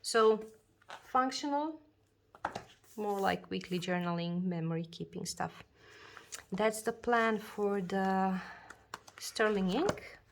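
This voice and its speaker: A woman chatting casually about her journals and planners, talking slowly with many fillers and restarts, her voice a bit froggy from a cold. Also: mid-range voice, a little hiss or hum. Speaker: journaling video creator. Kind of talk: casual chat showing a planner.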